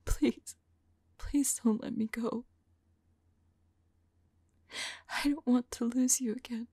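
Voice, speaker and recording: Slow, whispering female vampire, played by a woman. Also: fairly high pitch, fairly even intonation, no room sound. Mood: pleading, tearful. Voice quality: soft, breathy whisper. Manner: slow, halting, tender delivery. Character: remorseful, lovelorn female vampire confessing to reincarnated soulmate. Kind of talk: ASMR roleplay, intimate whispered dramatic monologue.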